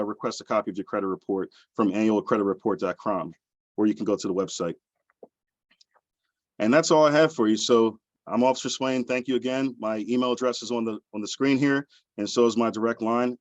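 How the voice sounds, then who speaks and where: A man's voice: mid-range voice, no room sound. Man, police officer, helpful wrap-up, dry muffled audio. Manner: helpful, offers his contact details. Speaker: police community resource officer. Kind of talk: closing a presentation. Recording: dull, dry remote audio.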